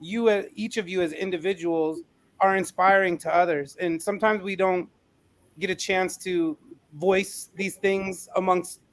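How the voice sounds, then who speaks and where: A man's voice: sits high, fairly even intonation, unhurried. A man co-hosting a podcast, giving informal closing remarks in an earnest, appreciative manner. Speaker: podcast co-host.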